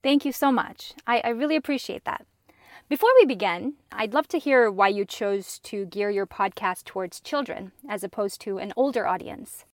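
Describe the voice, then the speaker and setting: A woman hosting a language-learning podcast, talking enthusiastically and explaining lessons clearly. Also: mid-range voice, normal speed, dry recording, studio quality. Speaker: podcast host.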